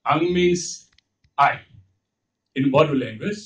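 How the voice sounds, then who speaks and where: An older man with an Indian accent gives an extempore lecture in a halting, emphatic way, repeating phrases. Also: a little high, a bit bright. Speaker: speaker at a panel talk. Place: lecture hall, at a podium. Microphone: podium microphone, heard from far off. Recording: dull, distant hall microphone recording.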